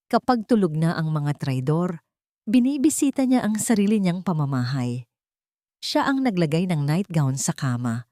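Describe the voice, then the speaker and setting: Female Filipino audiobook narrator, fairly low register, scripted drama delivery, very dry recording. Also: a little mellow, dry recording.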